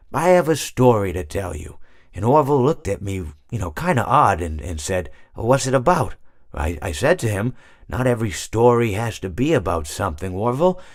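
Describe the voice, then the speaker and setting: Game role: aged hippopotamus animatronic. Meandering, hesitant, mild-mannered storyteller, very animated intonation, recorded close and dry. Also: male voice, on the low side, dry recording. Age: older. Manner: rambling, hesitant, absent-minded, self-correcting. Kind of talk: character monologue for a video game.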